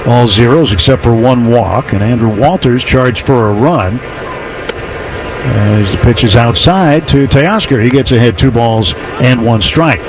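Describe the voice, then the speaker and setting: A man with an American accent doing live radio play-by-play of a baseball game in measured, descriptive calls. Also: medium pitch, background noise. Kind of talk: live radio sports commentary.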